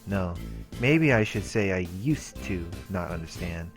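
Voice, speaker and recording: A man's voice: low-pitched, expressive, unhurried. A man hosting a let's play, casually reading a visual novel's story text aloud. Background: game music.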